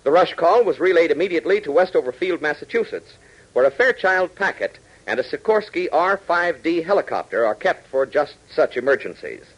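A man's voice: a little high, big pitch swings, brisk, a little hiss or hum, rough recording. An American man announcing and narrating a 1940s radio adventure serial, with scripted, emphatic, dramatic delivery. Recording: old 1940s radio broadcast.

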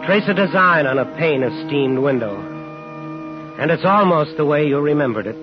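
A man's voice: fairly high pitch, lively intonation, moderate pace, over music, natural voice. An actor playing a bitter, relentless man in a 1950s radio drama, terse and sardonic. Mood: brooding narration. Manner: terse, sardonic, needling questions. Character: bitter, relentless man home after years, hunting a killer. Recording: muffled, noisy 1950s radio recording.

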